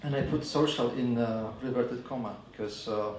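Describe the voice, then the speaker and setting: A man's voice: mid-range voice, a little mellow, a little hiss or hum. Polish guy giving a talk, lots of uhs and restarts, sounds far from the mic in an echoey room. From Poland. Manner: hesitant, halting, frequent fillers and restarts. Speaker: advertising agency speaker. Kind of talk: conference talk.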